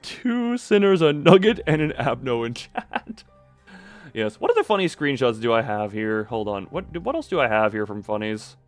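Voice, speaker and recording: A man's voice: mid-range voice, sing-song, moderate pace, no room sound, faint music behind. A man hosting a livestream, rambling casually and jokingly as he goes off on tangents and talks back to chat. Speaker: livestream host, streamer.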